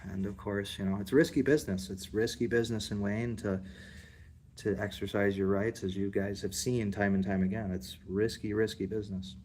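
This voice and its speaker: A man's voice: steady tone, normal speed, darkish tone, slight background noise. A man, livestreaming casual commentary in a public building, rambling and talking straight to his viewers. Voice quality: deep. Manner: rambling, defiant, addresses viewers directly.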